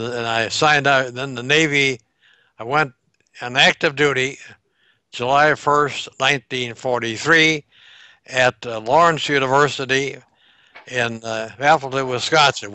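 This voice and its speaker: A man in his nineties recalls old events at an unhurried pace, stopping now and then to pin down dates. His voice is bright and crisp, picked up dry and close by a headset on a video call. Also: mid-range voice, fairly even intonation, no echo. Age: 90s. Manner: slow, reflective recollection with pauses to recall dates. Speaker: World War Two veteran interviewee. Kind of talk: oral history interview over video call. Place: home room by a staircase.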